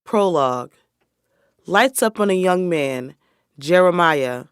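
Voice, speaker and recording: A man's voice: on the high side, expressive, slow, fairly bright tone, clean tone, no echo, clean studio sound. A man narrating stage directions for a play in a scripted reading style.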